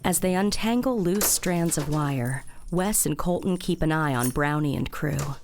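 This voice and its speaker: Low-voiced woman narrating an audio drama. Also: expressive, measured pace. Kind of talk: scripted present-tense audio drama narration. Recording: background sound-effect noise.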